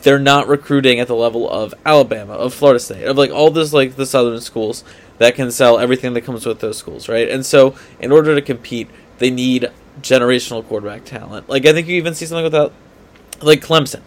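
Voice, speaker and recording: A man's voice: medium pitch, fast talker. Man debating sports, hedging and emphatic. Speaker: sports podcast co-host.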